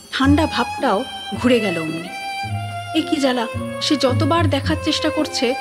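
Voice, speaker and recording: Fairly high-voiced woman narrating over music. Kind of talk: scripted dramatic story narration.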